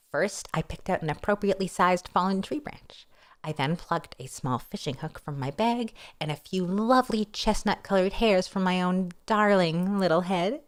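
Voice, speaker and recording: A woman with a fairly low voice reads a story aloud as narrator. She is expressive and wry, slipping into playful, casual asides as she goes. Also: measured pace. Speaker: streamer reading a novel aloud.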